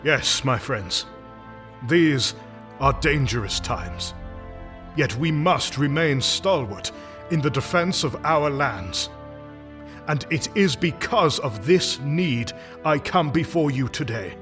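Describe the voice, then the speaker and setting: A man playing a stalwart knight grandmaster in a fantasy audio drama, giving stately, deliberate oratory over music. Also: a little high, expressive, a bit bright. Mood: solemn, resolute.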